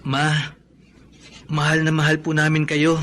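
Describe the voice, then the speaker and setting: High-voiced affectionate Filipino son. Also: male voice, monotone, measured pace, fairly bright tone. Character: affectionate, cheerful young son. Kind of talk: Filipino radio drama acting. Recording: noisy ambience behind the voice.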